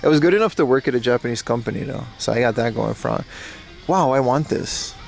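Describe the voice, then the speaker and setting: A young man livestreaming as he browses a secondhand shop, chatting excitedly and thanking viewers, recorded on a handheld phone. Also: medium pitch, fast, vocal fry, faint music behind. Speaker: streamer. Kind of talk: casual IRL livestream chat.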